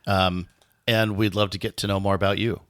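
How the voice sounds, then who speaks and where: Upbeat low-voiced male podcast host. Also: little pitch movement, fairly quick, fairly bright tone, clear, resonant tone, dry recording, clean studio sound. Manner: upbeat, enthusiastic. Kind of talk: casual podcast hosting.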